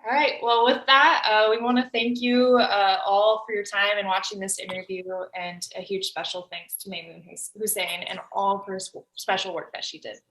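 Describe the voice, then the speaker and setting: A woman's voice: mid-range voice, fairly even intonation, normal speed, few pauses, fairly bright tone, low-quality recording. A woman interviewing someone, asking questions and giving short encouraging reactions. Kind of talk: recorded interview.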